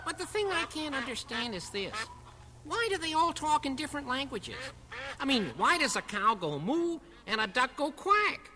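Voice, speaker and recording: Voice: sits high, big pitch swings, moderate pace, music in the background. A performer voicing an eager, wide-eyed, folksy young boy puppet on a 1960s children's record. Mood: earnest. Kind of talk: children's puppet record, scripted skits and songs.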